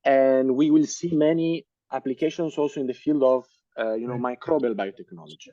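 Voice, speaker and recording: A man's voice: mid-range voice, a little fast, mellow, no room sound, lo-fi sound. A man in his thirties with an Italian accent, giving an online science lecture with many hesitant fillers and restarts. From Italy. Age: 30s.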